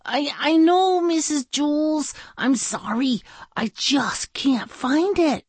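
A woman's voice: sits high, takes it slowly, dry recording, pitched above the performer's own voice. A woman reading a storybook aloud, voicing an anxious young schoolgirl who whines and stammers apologies.

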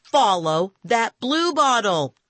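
A woman's voice: medium pitch, sing-song, measured pace, fairly bright tone, breathy edge, no room sound, voice pushed up, exaggerated voice. A woman voicing an anxious, exasperated young boy with an urgent, emphatic delivery in a children's audiobook. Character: anxious, exasperated young boy telling his magical mishap.

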